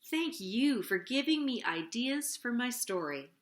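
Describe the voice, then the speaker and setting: Storybook bear, female reader performing: earnest, kindly, unhurried, with lively swings in pitch. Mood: cheerful, modest. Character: gentle helpful bear, earnest would-be storyteller. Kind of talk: children's storybook character reading.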